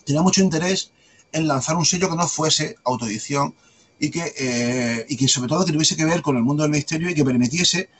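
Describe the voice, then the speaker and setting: Fast-talking husky Andalusian man. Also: fairly high pitch. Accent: light Andalusian Spanish. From Andalusia, Spain. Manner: rapid, discursive, frequent tag questions. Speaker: guest, publisher and author. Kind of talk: conversational interview answers.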